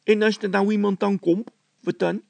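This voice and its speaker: A man voicing an eager, questioning village workman in a storybook, loud and excitable. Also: sits high, normal speed, creaky, no room sound, pitched above the performer's own voice.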